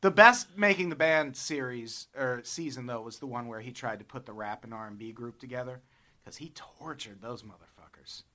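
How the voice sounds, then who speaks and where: A man's voice: medium pitch, moderate pace, sharp tone. A man, a comedian, chatting casually and jokily, acting out quotes in other people's voices. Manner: jokey, acts out quotes with voices.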